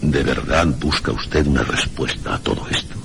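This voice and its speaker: Radio drama mystery guide: grave, insistent, bottomless pitch, creaky, slight background noise. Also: male voice, moderate pace, a bit bright, exaggerated voice. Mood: ominous, insistent. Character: mysterious, solemn male guide at an old inn.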